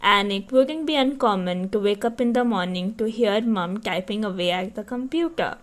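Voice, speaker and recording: A woman's voice: mid-range voice, normal speed. A woman co-hosting a podcast, chatting casually and reading a story, reacting with murmurs and laughs. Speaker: podcast co-host.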